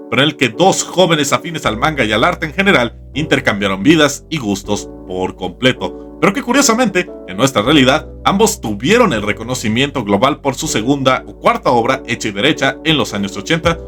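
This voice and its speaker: A man's voice: medium pitch, fairly bright tone. A man hosting a scripted comedic video essay with wry, theatrical emphasis. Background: background music.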